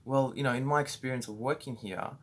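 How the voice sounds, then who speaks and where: A man's voice: mid-range voice, fairly quick. An Australian man giving a casual, rambling training talk to his staff, full of fillers and restarts. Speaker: business owner training staff.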